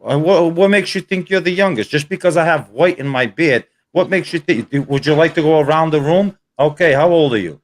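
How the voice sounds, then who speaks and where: A man's voice: fairly high pitch, fairly quick, few pauses. A man in his forties joking and teasing in a casual group video chat. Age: 40s. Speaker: live stream guest.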